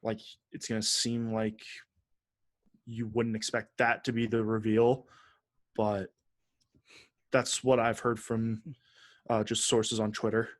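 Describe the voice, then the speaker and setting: A man's voice: on the low side, monotone, slow pace, long pauses, no room sound. A man co-hosting a podcast in casual, teasing chat, swearing freely. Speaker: podcast co-host.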